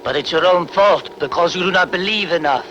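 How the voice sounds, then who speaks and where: A man's voice: high voice, fairly quick, a bit bright, muffled recording. A man playing an earnest, solemn soothsayer who speaks insistently in a film dub. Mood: alarmed pleading. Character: earnest male soothsayer pleading before a queen. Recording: clearly noisy background.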